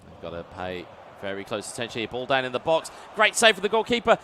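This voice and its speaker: Male esports caster, play-by-play, mid-pitched, excitable, faint traffic noise outside. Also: fast talker. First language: English. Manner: excitable, booming on big chances. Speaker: esports commentator. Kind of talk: play-by-play commentary.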